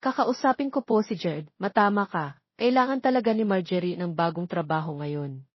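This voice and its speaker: A woman's voice: fairly low pitch, moderate pace, breathy edge, no echo. A trusting young woman in an audio drama, played by a woman narrator. She talks politely and cheerfully to family and friends. The sound is dry, slightly dull. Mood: friendly.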